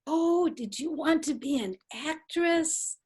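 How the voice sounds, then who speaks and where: A woman playing a prim, slow-speaking friend. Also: sits high, a little mellow. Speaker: reader in a play reading. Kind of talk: amateur readers' theatre acting.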